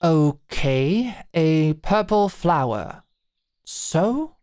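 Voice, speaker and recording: Woman playing a low-pitched, defiant young swordswoman. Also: lively intonation, extremely slow, mellow, exaggerated voice. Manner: blunt, challenging. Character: defiant, protective young woman, hot-headed former watch guard. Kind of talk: medieval fantasy audio roleplay acting.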